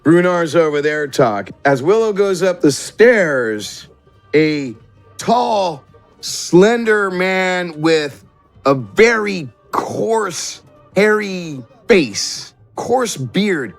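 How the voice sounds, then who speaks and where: High-voiced man narrating as game master. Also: lively intonation, extremely slow, soft background music. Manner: descriptive, piecing scenes together phrase by phrase. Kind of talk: improvised tabletop game narration.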